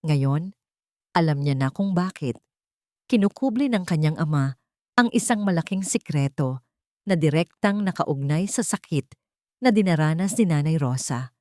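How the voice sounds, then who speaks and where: Female Filipino storyteller for romantic drama, lower register, close and dry sound. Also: lively intonation, moderate pace, pauses often, a little mellow, no room sound.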